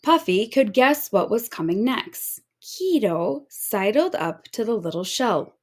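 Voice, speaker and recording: Slow, animated woman storyteller. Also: on the high side. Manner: expressive storytelling. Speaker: storybook reader. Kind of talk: children's read-aloud narration.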